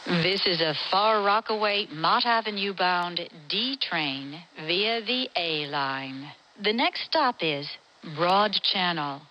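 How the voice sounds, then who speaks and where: A woman reading scripted train announcements as a transit announcer, in measured, separate phrases. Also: lowish voice, lively intonation, bright, forceful tone, a little hiss or hum, low-quality recording.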